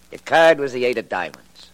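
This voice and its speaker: A man acting a cocky, surly small-time hustler in a radio crime drama, slangy, brusque and mocking. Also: mid-range voice. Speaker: actor. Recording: noisy old radio recording.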